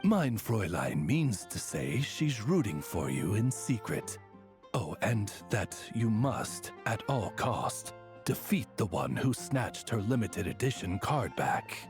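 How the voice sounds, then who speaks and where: A man's voice: lowish voice, very animated, slow pace. A man voicing a dignified raven familiar in a video game, formal and courteous like a butler. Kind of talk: video game character dialogue. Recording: music playing behind.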